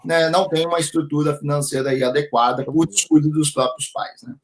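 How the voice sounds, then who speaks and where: A man talks in a fairly high voice during a casual live discussion. His manner is reflective, and he draws the other speaker's points together. He comes through on a video call. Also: steady tone, brisk. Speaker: co-host.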